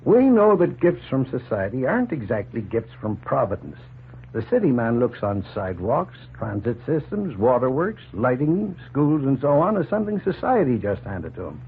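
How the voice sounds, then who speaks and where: An actor playing a plain-spoken, opinionated middle-aged farmer in a folksy, emphatic 1940s radio drama style. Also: male voice, medium pitch, very animated, normal speed. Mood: firm, explaining. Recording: noisy, tinny 1940s radio recording.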